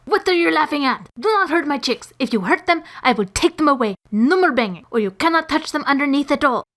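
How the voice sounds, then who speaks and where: A woman voicing an oblivious, stern foreign woman teacher with stilted, scolding speech in an animated story. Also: sits high, fairly quick, voice pushed up. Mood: irritated, scolding.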